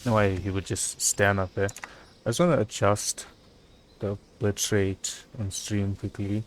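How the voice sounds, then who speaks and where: A man with a fairly low voice streams a game, talking casually through his thoughts as he plays. His commentary is informal and half to himself. There is clear background noise with clicks behind him. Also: flat delivery, a little slow. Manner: casual, muttering thoughts aloud. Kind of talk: live gameplay commentary.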